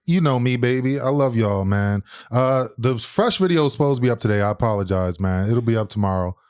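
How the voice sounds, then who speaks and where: A man's voice: mid-range voice, a little fast, darkish tone, clean tone. Laid-back, slangy male podcast co-host. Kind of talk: casual chat.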